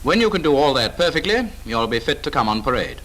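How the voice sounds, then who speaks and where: A man's voice: medium pitch, sing-song, fast talker, a bit bright, low-quality recording. A British man narrating a 1940s instructional film in a clipped, authoritative, instructive manner, on an old film soundtrack.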